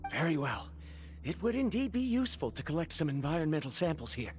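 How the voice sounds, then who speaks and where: A woman playing a proud, excitable scholar in a video game, with formal, theatrical phrasing. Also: deep voice, a little fast, over music. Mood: proud, explanatory. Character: eager, self-assured scholar of ores, proud and excitable. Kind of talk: English video game voice acting.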